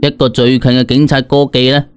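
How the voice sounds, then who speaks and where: A man's voice: medium pitch, little pitch movement, fairly quick. A man with a Cantonese accent narrating a scripted story dramatically, as an audiobook reader. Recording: music behind the voice. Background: background music.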